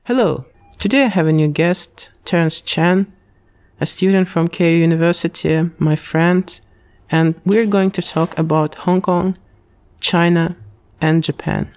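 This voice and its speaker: A man hosting a podcast interview, speaking with careful, slightly halting phrasing. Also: a little high, fairly even intonation, darkish tone, clear, resonant tone, no echo. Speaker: podcast host. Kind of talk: casual interview.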